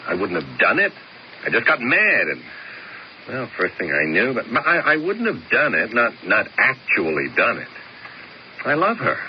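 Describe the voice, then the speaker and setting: An actor playing a nervous, excitable young man who stammers and pleads, in a 1970s radio drama. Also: mid-range voice, moderate pace. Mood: agitated. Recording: dull old radio broadcast with faint hiss.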